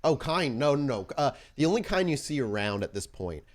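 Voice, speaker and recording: A man improvising tabletop game narration as game master, descriptive and somewhat halting, addressing the players directly. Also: medium pitch, sing-song.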